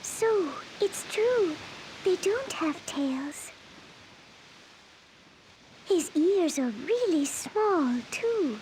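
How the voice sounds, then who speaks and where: A woman voicing an anxious young girl of a tailed fantasy people in an English video game dub, with a timid, halting delivery. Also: very high-pitched, lively intonation, a little mellow, slight background noise, full character voice, low-quality recording. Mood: curious wonder. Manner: timid, halting, trembling when afraid.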